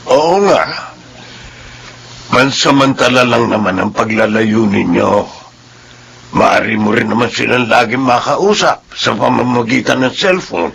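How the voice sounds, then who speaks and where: A gentle elderly father in a radio drama. His voice is gravelly and rough with breath, and he speaks in a soft, reassuring way. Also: medium pitch, unhurried, full character voice. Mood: consoling. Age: older. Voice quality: gravelly, husky. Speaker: father of the heroine.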